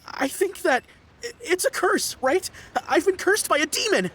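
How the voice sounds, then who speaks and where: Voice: very high voice, very animated, moderate pace, a bit bright, slight background noise, full character voice. A voice actor playing a frightened young man in a game who is sure he has been cursed, melodramatic and pleading. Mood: nervous. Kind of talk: game voice acting.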